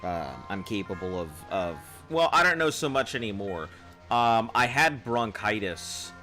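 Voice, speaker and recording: A man with a baritone voice chatting casually with viewers on a live game stream, in a loose, rambling way. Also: expressive, a little slow, sharp tone, faint music behind. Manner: rambling, loose, chuckling at chat.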